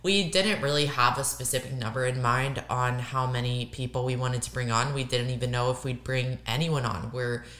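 A young woman co-hosting a casual podcast, hoarse and raspy from losing her voice, emphatic and repeating words for stress. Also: a bass voice, steady tone, moderate pace, few pauses, a bit bright, echoey room. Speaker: podcast co-host.

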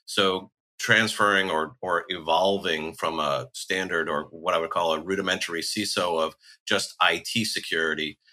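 A man speaking as a podcast guest about his work, halting and repeating words, with dry asides. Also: low-pitched, steady tone, bright, forceful tone. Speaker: guest, chief information security officer. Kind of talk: casual podcast conversation.

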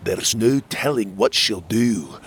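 A man's voice: on the low side, lively intonation, slow pace, a little hiss or hum. A man voicing a stern exiled royal warrior in a fantasy story, with a grave, commanding manner. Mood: grave warning.

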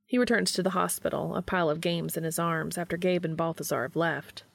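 Low-pitched, creaky woman narrator. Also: a little fast, no room sound, studio quality. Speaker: audiobook narrator. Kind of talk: scripted fiction narration.

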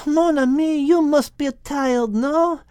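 A man's voice: extremely high pitch, slow, darkish tone, dry recording, studio quality, pitched above the performer's own voice. A man voicing a dainty, affectionate little female animal with a French accent. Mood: fond, eager. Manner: affectionate, exclamatory.

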